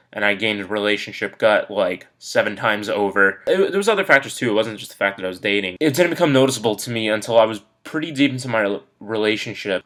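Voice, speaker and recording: A man in his early twenties, a little congested from a cold, talking candidly in a relaxed personal vlog with lots of fillers. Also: on the low side. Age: 20s. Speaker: vlogger.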